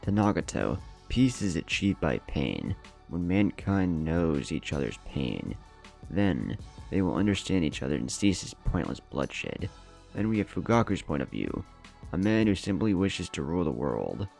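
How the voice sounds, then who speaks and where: A man's voice: sits low, very animated, unhurried, creaky, a little mellow, faint music behind, full character voice. A man voicing an earnest, resolute young ninja leader with emphatic speechmaking in a story narration. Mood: reflective.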